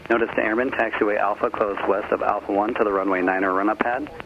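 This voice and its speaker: A man's voice: fairly low pitch, fairly even intonation, fast. A man reading a clipped, formulaic airport information broadcast over aviation radio. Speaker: airport weather information announcer. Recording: dull, noisy aircraft radio reception.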